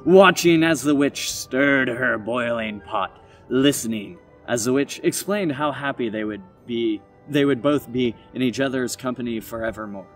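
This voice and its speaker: Guy reading a silly story out loud, kinda dramatic, trips on words, a bit off the mic w music behind. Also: medium pitch, moderate pace, distant mic. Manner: dramatic delivery, stumbles and self-corrects. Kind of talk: reading a comic short story aloud with informal asides.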